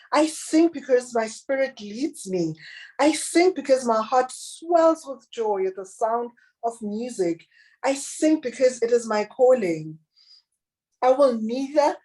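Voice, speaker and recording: A woman with a husky voice voicing a little girl who is hurt and then proud and determined to keep singing. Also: dark tone, rough recording. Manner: high, earnest childlike pleading.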